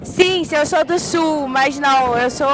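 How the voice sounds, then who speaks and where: A woman from Espírito Santo gives frank, emphatic answers in a beach street interview. Her voice sits high, with clear music behind her. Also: measured pace, low-quality recording. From Espírito Santo, Brazil. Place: outdoors on a beach.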